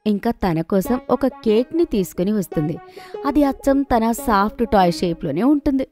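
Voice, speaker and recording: Woman narrating children's stories, mid-pitched. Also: expressive, moderate pace, warm tone, faint music behind. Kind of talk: scripted children's story narration.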